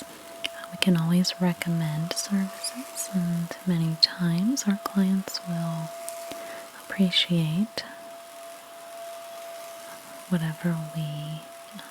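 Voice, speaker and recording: A woman speaks very slowly in a soft, breathy near-whisper, slightly low for a female voice. She plays a house cleaner in an ASMR roleplay, explaining gently and leaving pauses. Some background noise sits behind her voice. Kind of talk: softly spoken ASMR roleplay.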